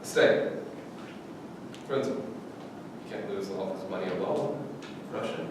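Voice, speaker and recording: In a booming, noisy hall, far from the mic, a man plays a German wartime camp officer. He speaks in curt, imperious orders with cool menace, as in a stage play. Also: slow pace. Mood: stern control. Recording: very echoey hall, distant mic, noisy rumble.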